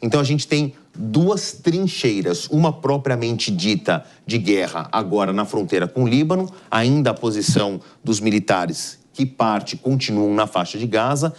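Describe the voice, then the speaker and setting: A man's voice: fairly low pitch, lively intonation, normal speed, few pauses. A man with a Brazilian accent giving live explanatory news commentary as an analyst, in a didactic, emphatic way.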